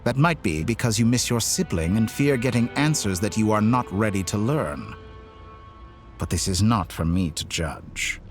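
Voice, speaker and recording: An actor playing an urbane, condescending video game villain with a formal, theatrical, smugly polite delivery. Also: male voice, lowish voice, lively intonation, normal speed, soft background music, close to an everyday voice. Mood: smug, coaxing. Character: urbane, condescending male villain with godlike powers.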